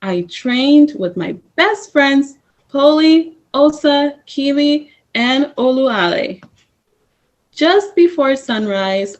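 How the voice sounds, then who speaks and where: A woman reading a first-person story aloud at story time, in a slow, measured way. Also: high voice. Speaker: storytime reader. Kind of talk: reading a picture book aloud.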